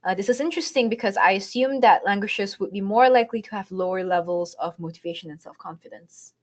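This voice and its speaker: A woman's voice: medium pitch, fast talker. A woman moderating a webinar, reading out audience questions in a light, friendly way over a video call. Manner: light, friendly, laughs briefly.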